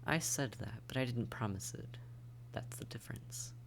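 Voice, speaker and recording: Man playing a whispery, creaky, taunting vampire. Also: medium pitch, lightly acted. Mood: menacing, hypnotic. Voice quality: breathy, often whispered, creaky. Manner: slow, coaxing, mock-tender menace. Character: silky, taunting, predatory vampire toying with captive hunter. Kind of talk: immersive roleplay audio drama, often whispered.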